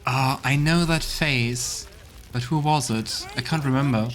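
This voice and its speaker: A man's voice: mid-range voice, measured pace. A man hosting a let's play, commenting casually in a reflective, conversational way.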